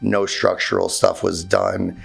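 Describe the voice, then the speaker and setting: A hoarse man with a very low, deeply gravelly voice speaks in a meeting room. His talk is halting and informal, full of fillers and restarts, and his voice sounds husky and nearly gone. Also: very animated, a bit bright. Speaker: contractor presenting to a zoning board. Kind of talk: informal presentation at a public meeting.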